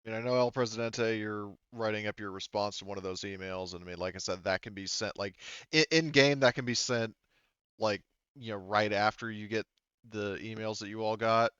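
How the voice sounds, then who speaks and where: A man's voice: fairly low pitch, fairly even intonation, fairly quick, fairly bright tone, clear, resonant tone, dry recording, highs cut off. A man running a tabletop game session as game master, talking casually in a rambling, hesitant way. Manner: rambling, hesitant, often repeats "you know" and "like".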